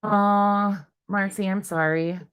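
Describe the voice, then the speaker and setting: A woman's voice: mid-range voice, fairly even intonation, takes it slowly, smooth. A woman chatting casually as a livestream host, informally hopping between replies to viewers. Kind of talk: casual live chat, reading and answering viewer comments.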